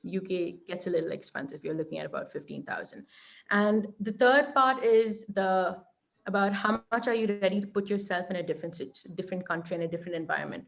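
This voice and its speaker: A woman's voice: fairly low pitch, a little fast, vocal fry. A young woman with an Indian accent, a law lecturer speaking as an interview guest, giving earnest advice with frequent restarts and "right?" checks. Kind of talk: online interview conversation.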